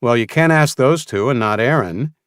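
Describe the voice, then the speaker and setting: Caring mom, played by a male narrator: practical, gently firm, pitched up, audiobook dialogue. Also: expressive, moderate pace, smooth, dry recording, studio quality, natural voice. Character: caring, practical mother of a teenage son.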